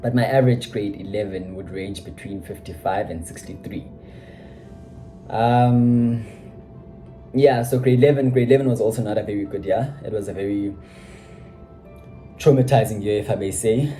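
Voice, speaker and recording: Young guy, low-pitched, warm and relaxed, laid-back self-mocking storyteller, soft music under him. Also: moderate pace, long pauses, poor audio. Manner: reflective, self-deprecating, many "you know" asides. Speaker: vlogger. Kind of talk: casual personal storytelling.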